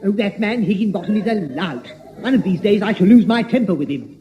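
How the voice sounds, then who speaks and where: A man playing a prim, fussy, easily flustered gentleman in a 1960s radio comedy, speaking precisely with stammering indignation. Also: high-pitched, animated, brisk, highs cut off. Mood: indignant. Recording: reverberant, slightly noisy 1960s radio recording.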